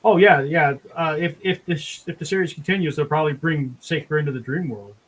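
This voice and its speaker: A man's voice: on the high side, fast talker, poor audio. A man talking informally as a regular on a drawing show. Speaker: drink-and-draw show regular. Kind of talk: casual chat.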